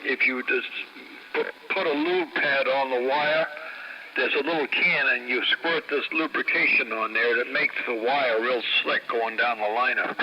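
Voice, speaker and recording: A man's voice: medium pitch, moderate pace. An American man chatting casually over CB radio in a folksy manner, through a muffled, noisy transmission. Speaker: CB radio operator.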